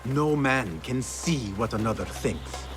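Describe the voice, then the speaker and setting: A measured, resolute young assassin. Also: male voice, medium pitch, animated, over music. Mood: guarded. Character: Basim, earnest young male assassin, loyal and guarded. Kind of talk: dramatic video game acting.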